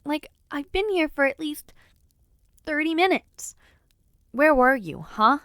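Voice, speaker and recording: A woman's voice: high voice, expressive, slow pace, fairly bright tone, no echo, clean studio sound, exaggerated voice. A young woman voicing a spirited, playful noblewoman knight in an audio roleplay, chatty and teasing. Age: young adult.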